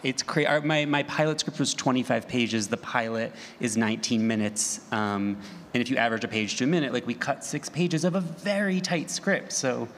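Halting man on an echoey panel. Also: medium pitch, fairly bright tone. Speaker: writer-producer on a panel. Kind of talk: casual panel discussion. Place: live convention panel in a hall. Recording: echoey hall, clear ambient noise.

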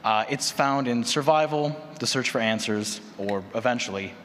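A man's voice: medium pitch, moderate pace, fairly bright tone. Man hosting a panel in an echoey hall. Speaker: panel host. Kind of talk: conference panel presentation. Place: large indoor conference session room. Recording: echoey hall, distant room noise.

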